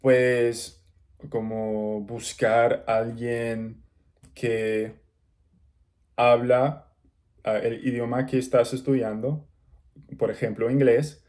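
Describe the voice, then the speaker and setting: Slow, mellow-voiced man learning the language. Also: medium pitch, flat delivery. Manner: halting learner's speech with pauses and restarts. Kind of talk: casual talk to camera.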